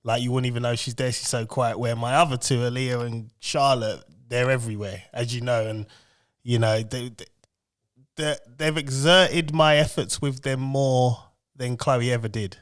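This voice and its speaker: A man's voice: mid-range voice, fairly even intonation, normal speed. A middle-aged man chatting on a podcast, a laid-back storyteller who laughs often. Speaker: podcast co-host. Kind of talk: casual chat.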